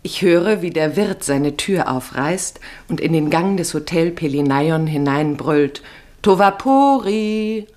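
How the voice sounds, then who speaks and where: A low-pitched female narrator from a 1950s radio feature. She tells a literary travel story in the first person, declaiming it carefully and poetically. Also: animated, takes it slowly, darkish tone.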